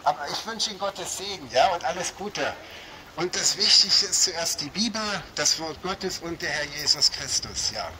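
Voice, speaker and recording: A man's voice: on the high side, animated, moderate pace, pushed and bright. Fervent Berlin man preaching in the street. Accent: light Berlin German. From Berlin, Germany. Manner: fervent, repeats "ja" and "Halleluja". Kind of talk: open-air street sermon. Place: outdoor shopping street under a small roof, rain. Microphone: small loudspeaker setup. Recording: noisy outdoor street ambience.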